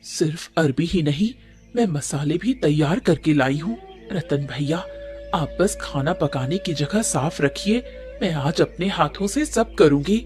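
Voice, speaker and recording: A woman's voice: sits low, animated, measured pace, darkish tone. A woman playing a helpful, determined village cook in a Hindi cartoon story, earnest and encouraging. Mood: eager. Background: background music.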